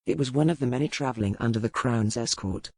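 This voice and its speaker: An actor playing a dangerous, brooding pirate earl in a romance audiobook, calm and measured, with formal old-fashioned phrasing. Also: male voice, medium pitch, slightly rough, no room sound. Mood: calm, matter-of-fact. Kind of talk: dramatized romance audiobook dialogue.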